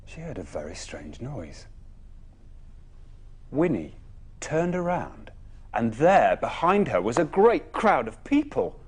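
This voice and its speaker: A man reading a children's bedtime story expressively, with dramatic pauses and emphatic phrasing. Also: medium pitch, slightly rough.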